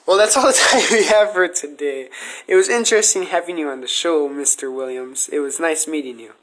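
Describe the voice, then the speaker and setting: Man, slightly high pitch, podcast host, casual question-and-answer delivery. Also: a little fast, fairly bright tone.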